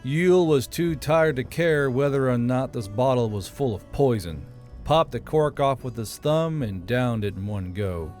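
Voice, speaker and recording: A man's voice: medium pitch, animated, measured pace, darkish tone. A man narrating a fantasy story as an audiobook reader and channel host. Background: background music.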